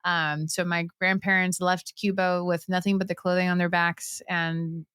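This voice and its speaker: A woman's voice: lowish voice, little pitch movement, moderate pace, a bit bright, clean tone, dry recording, studio quality. A woman from Puerto Rico, telling personal stories conversationally as a podcast guest.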